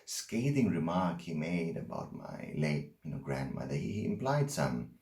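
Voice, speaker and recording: Man acting Brocky, a deep, haunted, halting confessor. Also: sing-song, darkish tone, heavily acted. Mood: brooding, hushed. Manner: halting, stammering, intense storytelling. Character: Brocky, unsettling haunted man confessing his troubled loves. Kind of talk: dramatic monologue as confession. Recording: roomy, echoing room.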